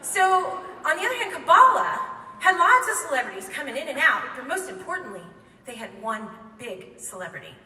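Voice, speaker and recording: A woman telling a comic true story live on stage, wry and quick to laugh, recorded in a hall by a microphone set back from her. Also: high voice, very animated, pushed and bright. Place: theater stage before an audience. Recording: echoey hall, mic from far off.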